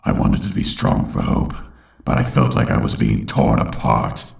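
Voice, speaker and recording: A man playing a brooding unicorn villain who solemnly narrates his tormented youth in a gravelly voice, as in a dramatic comic dub. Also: very deep voice, big pitch swings, normal speed, reverberant, recorded from a distance, full character voice. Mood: somber, brooding. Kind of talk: scripted first-person dramatic narration.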